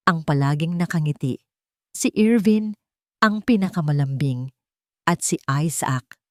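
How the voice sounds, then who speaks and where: A woman's voice: on the low side, darkish tone, no echo, clean studio sound. A woman reading a scripted dramatic story as a storyteller. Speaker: storyteller, audio drama narrator.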